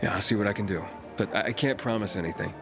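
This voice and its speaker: Low-voiced guarded wanderer, played by a man. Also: fast talker, over music, natural voice. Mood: dry, cautious. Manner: reserved, terse. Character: guarded, laconic male pilgrim, a lone wanderer. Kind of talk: video game cutscene acting.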